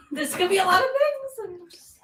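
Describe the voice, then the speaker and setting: A woman's voice: very high-pitched, big pitch swings, moderate pace. A woman bantering casually from away from the microphone. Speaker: background participant in livestream. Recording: distant, roomy, clearly noisy background.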